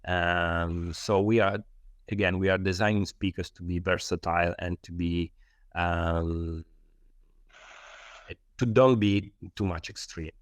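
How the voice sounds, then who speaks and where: Smooth, low-voiced Italian man explaining carefully. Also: steady tone, takes it slowly, no room sound. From Italy. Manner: hesitant, searching for words in non-native English. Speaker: loudspeaker designer, interview guest. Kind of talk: explanatory interview answers.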